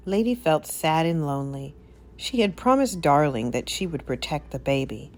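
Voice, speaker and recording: A woman narrating a children's story as an expressive read-aloud. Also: low-pitched, normal speed, soft background music.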